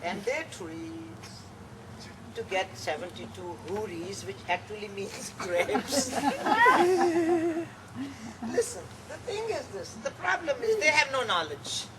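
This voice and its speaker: A woman from Pakistan with a Pakistani accent, performing a satirical monologue in a deliberate, dramatic way. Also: mid-range voice, sing-song. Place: live venue, cafe. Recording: distant mic, clear background noise.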